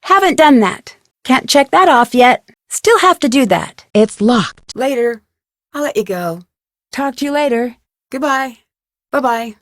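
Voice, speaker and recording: A woman's voice: high voice, animated, unhurried, no room sound, clean studio sound. A woman playing a confident, polite young detective in a video game, composed, friendly and articulate. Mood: polite, casual.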